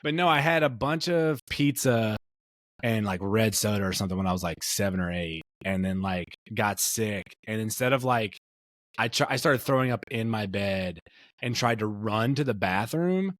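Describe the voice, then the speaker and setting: A man's voice: fairly low pitch, normal speed, fairly bright tone, no room sound, studio quality. A man in his late thirties co-hosting a casual podcast chat, rambling with many fillers and restarts. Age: 30s. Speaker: podcast co-host.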